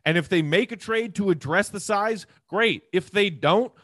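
Dry-sounding, higher-voiced man hosting sports talk. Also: moderate pace, fairly bright tone, dry recording. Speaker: sports podcast host. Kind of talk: conversational sports commentary.

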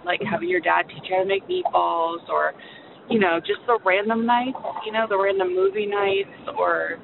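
A woman's voice: animated, moderate pace, creaky. A woman caller leaving a reflective, casual voicemail, rambling a little and hesitant. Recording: noisy phone line, vehicle rumble.

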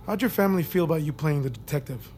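An actor playing a calm, probing male army psychiatrist in a naturalistic audio drama. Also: on the high side, quick pace, faint music behind, lightly acted.

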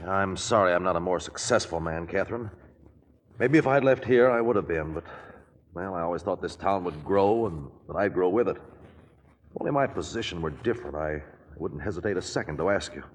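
An actor in a 1940s radio drama playing an earnest, polite small-town lawyer and modest suitor who speaks a little hesitantly. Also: male voice, low-pitched, lively intonation, brisk. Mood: earnest, pleading. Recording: old 1940s radio broadcast, reverberant.

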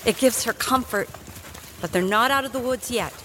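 A woman's voice: on the high side, moderate pace, fairly bright tone, a little hiss or hum. A woman narrating a scripted audio drama in a storytelling style. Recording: music bed under voice.

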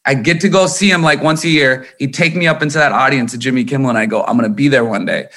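A man's voice: mid-range voice, fast talker. A man in his thirties from Minnesota, a musician talking candidly and emphatically in an interview, swearing freely. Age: 30s. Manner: animated, emphatic, swears often. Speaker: guest musician.

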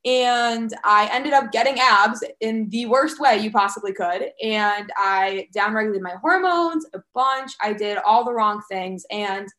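A woman's voice: medium pitch, a little slow, few pauses. Candid woman coach in an echoey room. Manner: candid, relaxed, laughs lightly, filler likes. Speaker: fitness coach, guest. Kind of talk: casual podcast conversation.

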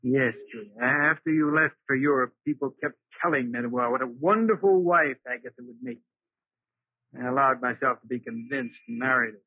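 An actor playing a regretful, lovesick husband in an old radio drama, earnest and pleading. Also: male voice, medium pitch, normal speed, slightly rough, dry recording, lightly acted. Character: lovesick, regretful, unhappily married man recounting his past. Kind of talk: 1940s radio drama acting. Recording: muffled, remastered old radio recording.

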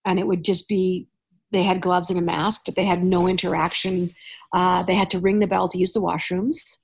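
Chatty woman host telling animated stories. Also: fairly low pitch, normal speed, creaky, husky. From Canada. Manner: chatty storyteller, animated asides and laughs. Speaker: podcast host. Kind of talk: casual chat.

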